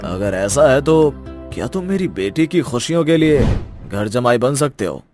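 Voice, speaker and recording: A man acts out a wealthy middle-aged father-in-law in a moral story. He is haughty and controlling, with a medium-pitched voice, and his politeness is a thin cover for condescension. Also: expressive, normal speed, a little mellow, soft background music, natural voice. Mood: stern. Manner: condescending, mock-polite, commanding. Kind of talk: dramatized Hindi moral story.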